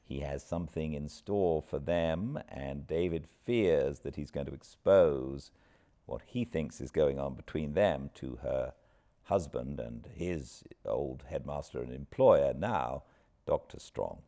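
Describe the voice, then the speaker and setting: A British man hosting an informal book recap with an expressive storyteller's delivery. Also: rumbling low, slow pace. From Britain. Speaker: host, audiobook narrator.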